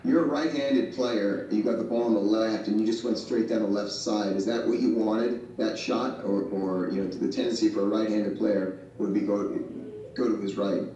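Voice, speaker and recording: A man's voice: on the low side, fairly even intonation, normal speed, dark tone, dull audio, slight background noise. A man, a sports reporter, asking long, probing questions at a press conference. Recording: echoey room, far from the mic.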